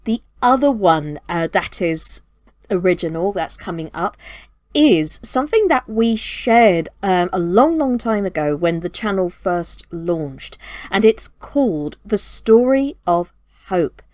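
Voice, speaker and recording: A woman's voice: fairly low pitch, animated, takes it slowly, a little mellow, dry recording, clean studio sound. A woman hosting a children's storytime channel, giving a chatty, earnest update straight to her viewers. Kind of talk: chatty scripted update to camera.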